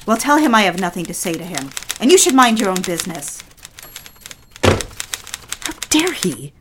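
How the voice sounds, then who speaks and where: A woman's voice: medium pitch, sing-song, unhurried, heavy background noise. A woman playing a bitter, jealous young mother in a period audio drama. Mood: angry.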